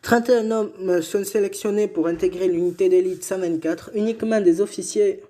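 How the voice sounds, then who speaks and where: A man with a mellow, dark voice reads history notes aloud in a relaxed chat. He often stumbles, restarts words and drops joking asides between facts. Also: sits high, normal speed. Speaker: co-host telling history. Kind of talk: casual reading of history notes.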